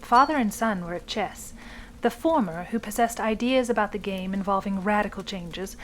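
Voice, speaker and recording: A woman's voice: mid-range voice, normal speed, a bit bright, poor audio. Lady podcast host reading a story, medium voice, slight hiss behind her. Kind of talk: storybook narration and hosting.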